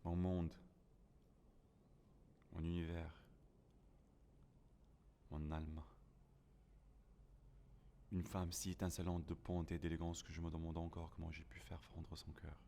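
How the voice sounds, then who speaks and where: A man's voice: low voice, monotone, measured pace, creaky, long pauses, mellow, slight background noise. A man playing a lonely, grieving survivor of a plant apocalypse, narrating a first-person audio drama in a somber, melancholic, dramatic way. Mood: mournful, wistful.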